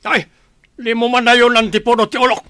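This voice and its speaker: Male actor as aging Filipino father, high sharp voice, exasperated, complaining, radio drama. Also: flat delivery, a little fast, no room sound, studio quality. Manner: complaining, argumentative. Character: exasperated, grumbling aging village husband and father. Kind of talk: Ilocano radio drama acting.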